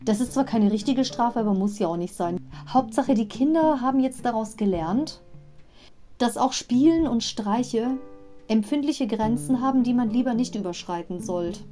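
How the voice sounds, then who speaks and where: A woman's voice: on the high side, moderate pace, warm tone, faint music behind. A woman hosting a fan podcast, retelling a children's audio story in a chatty, digressive way with personal asides. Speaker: podcast host.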